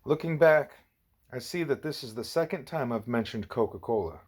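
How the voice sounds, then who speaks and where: A man's voice: medium pitch, lively intonation, normal speed, darkish tone, husky. A man narrating a first-person novel as an audiobook reader.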